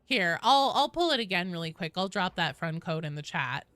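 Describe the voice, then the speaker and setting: A woman's voice: fairly low pitch, big pitch swings, moderate pace, a bit bright, dry recording, soft background music. A woman hosting a casual game livestream, chatting informally and explaining things at length as she talks directly to viewers. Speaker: video game streamer, host.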